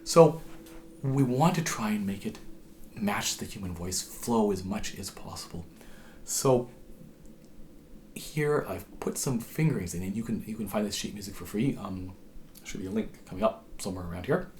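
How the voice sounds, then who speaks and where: A hoarse man with a cold, patiently explaining how to play a tune as a harp teacher, his voice sometimes dropping to a whisper. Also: lowish voice, expressive, normal speed, darkish tone, slight background noise. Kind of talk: instructional tutorial.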